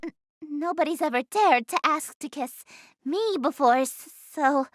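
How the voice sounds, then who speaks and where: A woman's voice: sits high, expressive, a little slow, fairly bright tone, no room sound, studio quality. A woman voicing a haughty, easily flustered eldritch goddess in an anime-style game, speaking in a theatrical, formal, imperious way. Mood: flustered, shy. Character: haughty, easily flustered regal eldritch goddess, cute young-sounding female. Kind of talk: anime-style visual novel game voice acting.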